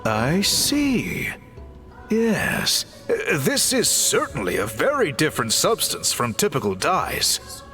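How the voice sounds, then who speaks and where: An actor playing a courteous, encouraging craft mentor in a video game, speaking in formal, measured phrases. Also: male voice, fairly high pitch, huge pitch swings, sharp tone, faint music behind, full character voice. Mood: encouraging, instructive.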